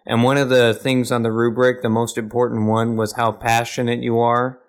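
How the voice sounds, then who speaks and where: A young man from Arkansas talking in a flat, deadpan monotone, telling a story in a casual podcast chat. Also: mid-range voice, normal speed. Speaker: podcast co-host.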